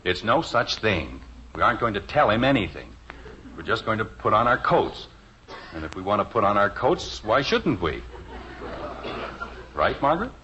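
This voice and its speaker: A man's voice: lowish voice, very animated, normal speed, long pauses, bright, forceful tone. A middle-aged American man acting as an exasperated, scheming suburban father in a 1950s radio sitcom, flustered and commanding. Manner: flustered, commanding, swooping emphasis. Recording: muffled, slightly noisy old radio recording.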